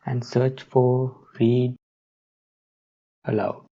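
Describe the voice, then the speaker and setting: A man narrating a step-by-step software tutorial in a halting, instructional way, on a dull, muffled microphone. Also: mid-range voice, flat delivery, slightly rough.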